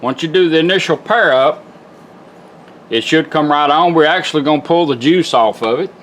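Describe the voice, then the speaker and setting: A man's voice: a little high, a little hiss or hum. A man giving a casual, hands-on product demonstration in a folksy, plain-spoken way. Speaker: car radio restorer demonstrating a product. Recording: music playing behind the voice. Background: music from a car radio.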